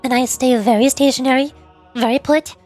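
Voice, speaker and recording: A woman's voice: sits high, fairly even intonation, brisk, a little mellow, faint music behind. A woman livestreamer chatting casually with viewers, rambling and often repeating words as she forms her thoughts. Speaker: VTuber livestreamer.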